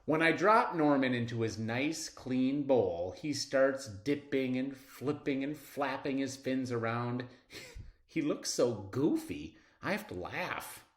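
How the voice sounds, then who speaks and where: A man reading a children's story aloud expressively, with dramatic pauses and drawn-out words. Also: medium pitch, expressive. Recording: roomy, echoing sound.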